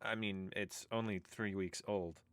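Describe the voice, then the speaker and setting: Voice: sits low, steady tone, a little slow, no room sound, clean studio sound. An actor playing an anxious but reasonable young traveler in a sci-fi audio drama, questioning and placating. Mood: low-key.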